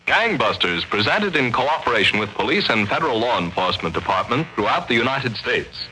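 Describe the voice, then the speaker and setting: A man's voice: medium pitch, very animated, fairly quick, pushed and bright. A man announcing a 1940s radio show in a bold, proclaiming manner, on a noisy old recording.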